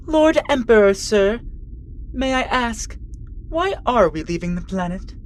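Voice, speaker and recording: Actress as a timid, high-voiced female underling. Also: expressive, measured pace, a little hiss or hum. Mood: cautious deference. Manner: deferential, pleading. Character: timid, submissive pregnant female military underling. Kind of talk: animated fantasy character acting.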